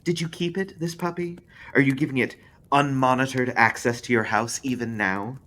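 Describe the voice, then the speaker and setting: A man's voice: mid-range voice, steady tone, moderate pace, pushed and bright, voice pushed up, lightly acted. A man voicing a creepy, smiling, thin male stranger who needles with mocking questions in a horror story. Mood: sinister, probing. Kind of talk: audiobook-style character voice in a horror story.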